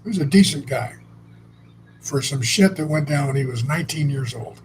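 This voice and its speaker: A man's voice: mid-range voice, moderate pace, slight background noise. A man co-hosting a casual chat, telling stories in a blunt, salty, rambling way with frequent restarts. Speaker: co-host. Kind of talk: casual conversational storytelling.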